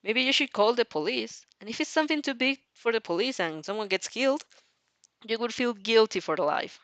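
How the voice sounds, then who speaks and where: A woman reads a story aloud with lively, swinging intonation. Her delivery is expressive and informal, slipping between narration and her own casual reactions. The sound is close and dry. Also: fairly high pitch, fairly quick, no room sound. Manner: animated, breaks off to react and restart sentences. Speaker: fan fiction reader and reactor. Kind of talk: expressive audio reading of fan fiction with casual asides.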